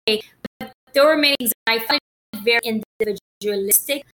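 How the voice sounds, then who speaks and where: A woman's voice: on the high side, moderate pace, bright, forceful tone, slight background noise. A woman from Haiti talking casually about her personal journey, rambling with many fillers and restarts.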